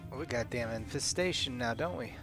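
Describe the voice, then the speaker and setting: A man's voice: medium pitch, fairly quick, music in the background. A man chatting casually as a player in a tabletop roleplaying game, in a hesitant, conversational way.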